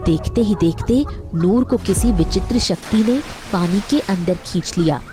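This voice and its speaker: A woman's voice: lowish voice, moderate pace, music in the background. A woman narrating a scripted cartoon story as its storyteller.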